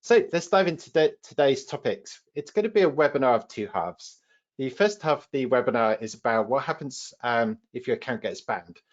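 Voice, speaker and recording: A man with a British accent hosts a live online training session, explaining things in an informal, practical way as the trainer. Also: mid-range voice. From United Kingdom. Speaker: webinar host and trainer. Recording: slightly dull webinar audio.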